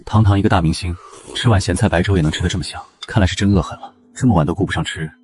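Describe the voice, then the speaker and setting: Guy acting cool guarded young man, really low voice, talks fast, curt, audio drama style. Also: a little mellow, slight background noise, close to an everyday voice.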